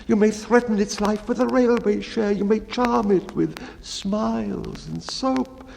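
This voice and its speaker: A man voicing a timid, mournful baker in a dramatic poetry reading, with a tearful, drawn-out lament. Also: sits high, creaky, darkish tone, in a large hall, a little hiss or hum, voice pushed up, heavily acted. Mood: wistful.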